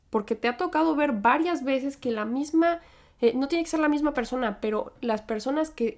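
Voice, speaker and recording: A young Mexican woman with a Mexican Spanish accent and a slightly high voice. She talks to camera in a candid, conversational way, reflecting aloud. Her speech is full of fillers and restarted phrases. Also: darkish tone. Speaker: vlogger. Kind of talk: reflective personal monologue.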